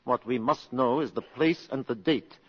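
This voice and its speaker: An actor playing an anxious, insistent colonel buying secrets, speaking formally and urgently in a 1950s radio drama. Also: male voice, medium pitch, moderate pace, a bit bright, husky. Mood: tense, insistent. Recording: dull 1950s radio broadcast.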